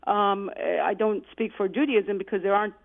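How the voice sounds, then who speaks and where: A woman's voice: mid-range voice, fairly even intonation, normal speed. A middle-aged woman from Iraq calling in to a radio show, speaking earnestly and hesitantly with many fillers. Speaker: caller. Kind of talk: call-in radio talk. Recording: tinny phone line.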